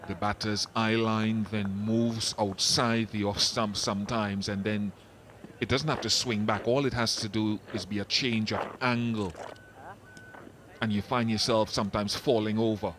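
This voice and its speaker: A man giving relaxed, chatty live cricket commentary, explaining the game's finer points. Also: on the low side, a little slow, slight background noise. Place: commentary box at a cricket ground.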